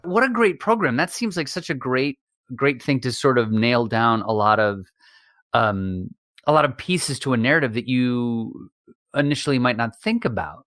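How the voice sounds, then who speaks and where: Co-host: male, mid-range pitch, casual interview chat, dry recording. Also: animated, normal speed, fairly bright tone, no echo. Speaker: podcast host.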